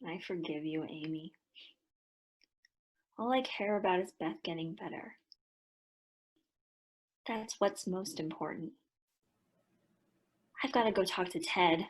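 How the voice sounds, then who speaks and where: Husky, earnest teenage girl played by a woman. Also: fairly low pitch, slow pace, pauses often. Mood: sad, subdued. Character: earnest, worried teenage daughter, loyal sister. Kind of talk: modern radio play acting.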